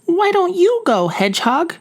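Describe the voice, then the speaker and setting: A woman's voice: very high-pitched, sing-song, moderate pace, voice pushed up. A woman voicing a timid female squirrel in a storybook. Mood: anxious.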